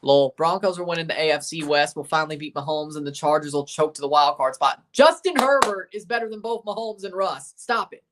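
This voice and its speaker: A man's voice: fairly high pitch, brisk, hardly any pauses, background noise. Opinionated man hosting a live watchalong. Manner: opinionated, ranting, reads chat aloud; reads out team lineups. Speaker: livestream host reading chat. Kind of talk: casual live watchalong chat.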